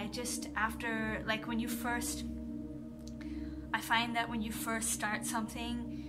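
Hesitant woman in her thirties vlogging. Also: mid-range voice. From Singapore. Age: 30s. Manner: hesitant, many fillers and restarts, soft-spoken. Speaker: artist vlogger. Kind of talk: casual rambling vlog. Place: indoors in front of a pink backdrop. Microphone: earphone mic, phone camera close up.

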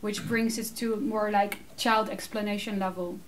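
A Dutch woman in her thirties answering audience questions in a casual, animated way, laughing often; English is her second language. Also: mid-range voice, moderate pace. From Netherlands. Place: small room with curtained backdrop, seated. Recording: distant mic, faint room noise.

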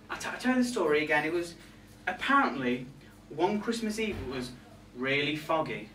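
A man's voice: sits high, fairly bright tone, far from the mic. A young man playing a catty, resentful reindeer in a comic monologue, indignant and gossipy, with arch asides to a live audience. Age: young adult. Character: catty, resentful male reindeer grumbling about Santa's crew. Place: small pub room with a live audience. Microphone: far camera. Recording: echoey room from afar, background noise.

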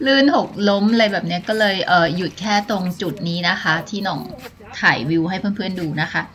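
A woman's voice: lowish voice, brisk, fairly bright tone. A woman, chatting politely as she vlogs a hike outdoors, filming on a hand-held phone. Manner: chatty, polite, many filler sounds. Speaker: vlogger. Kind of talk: casual travel vlog narration. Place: outdoors on a mountain trail. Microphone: phone camera held at arm's length.

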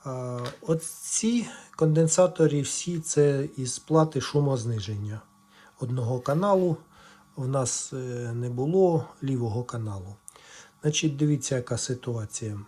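A man with an average-pitched voice presents a casual, hands-on walkthrough. He explains things step by step in a halting way, as if thinking aloud while he works. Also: dark tone, slightly rough. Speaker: electronics repair video presenter.

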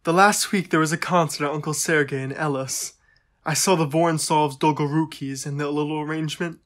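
A man's voice: fairly high pitch, steady tone, fairly quick, fairly bright tone, natural voice. A man playing a fond young crown prince. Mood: fond, playful. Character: affectionate, lighthearted young Russian crown prince. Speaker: actor in an audio drama. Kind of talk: dramatic reading of personal letters.

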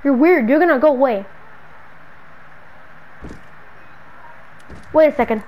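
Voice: high voice, racing, darkish tone, slight background noise, close to an everyday voice, low-quality recording. A young performer playing a brash, exasperated young man in an improvised game roleplay, slangy and repeating bro and man. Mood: whiny, annoyed.

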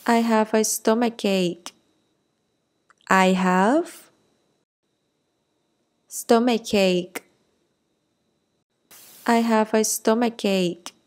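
A woman's voice: fairly high pitch, steady tone, very slow, long pauses. A woman reading short English phrases for learners. She splits each phrase into chunks and then repeats it whole. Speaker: English teacher.

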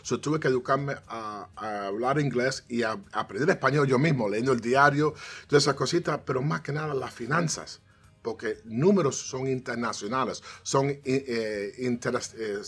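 A middle-aged man, a financial strategist guest, talking in an animated way, mixing in English words and restarting often. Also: mid-range voice, normal speed, husky, faint music behind. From United States, of Cuban parents. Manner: animated, gestures a lot, frequent restarts and English "so". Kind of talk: casual interview talk. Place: TV studio set, seated on armchair.

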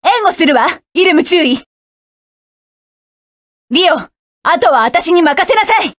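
A woman's voice: extremely high pitch, animated, slow, a bit bright, no echo, clean studio sound, exaggerated voice. An actress voicing a proud, commanding adult woman mecha pilot, delivering curt battle lines for a video game. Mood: fierce, commanding. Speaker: game character.

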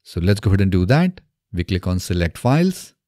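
A man in his thirties presenting a software tutorial step by step in a methodical way, recorded close on a desk microphone in a small home studio. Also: low-pitched, sing-song, a little fast, dry recording. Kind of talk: scripted software tutorial narration. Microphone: close desk microphone on boom arm.

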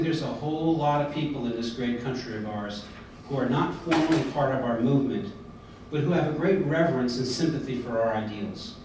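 A middle-aged American man giving an earnest prepared speech at a podium, often pausing on fillers and restarts. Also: medium pitch, a little mellow. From United States. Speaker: convention speaker. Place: large hotel conference hall, indoors. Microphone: podium mic, filmed by a far camera. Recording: echoey hall from far off, background noise.

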